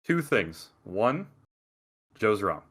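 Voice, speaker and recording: Podcast co-host, male, deep and expressive, rambling and excitable. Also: drawn-out words, slightly rough. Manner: animated, rambling, with many restarts. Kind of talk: casual gaming chat.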